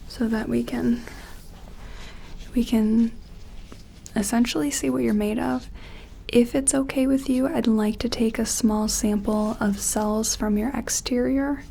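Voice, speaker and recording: A woman performing a gentle ASMR roleplay as an examiner, soft-spoken and often whispering, explaining things in a tentative, clinical way. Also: fairly high pitch, steady tone, slow pace, creaky, long pauses, a little hiss or hum.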